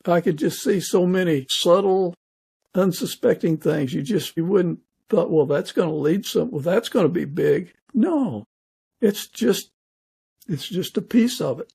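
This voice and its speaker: An older man hosting a casual interview, speaking in a halting, reflective way. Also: sits high, creaky, warm tone, breathy edge. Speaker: podcast interviewer.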